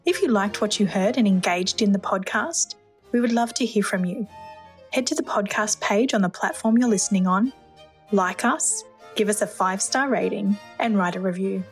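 A woman's voice: mid-range voice, moderate pace, faint music behind. An Australian woman delivering a scripted podcast sign-off as announcer.

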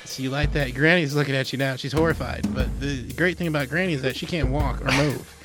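A man's voice: medium pitch, steady tone, fast, music in the background. A man on a comedy podcast, improvising absurd stories in a casual, matter-of-fact way. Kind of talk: improvised comic storytelling in second person.

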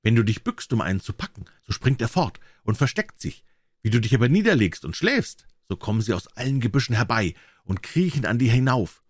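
A man playing a bold, animated young drummer. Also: medium pitch, brisk, breathy edge, no room sound, studio quality. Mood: cocky, cunning. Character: bold, fearless young drummer, cunning and kind. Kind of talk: fairy tale character voice.

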